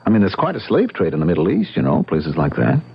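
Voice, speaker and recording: An actor playing an earnest, stubborn young American bachelor in an old-time radio drama, explaining himself emphatically. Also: male voice, sits low, huge pitch swings, fast talker, gravelly, breathy edge, heavily acted. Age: young adult. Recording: muffled radio drama recording.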